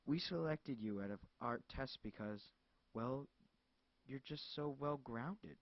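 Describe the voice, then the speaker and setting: A smug, menacing male caller, as a narrator puts him on, polite and slow, with a smile in his voice. The calm never breaks. Dry, dull lo-fi recording. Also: mid-range voice, no room sound. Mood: calm menace.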